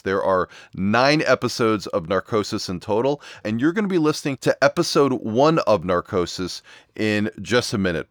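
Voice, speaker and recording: A man's voice: on the low side, no echo, clean studio sound. A man hosting a podcast interview in an upbeat, conversational way. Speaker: podcast host.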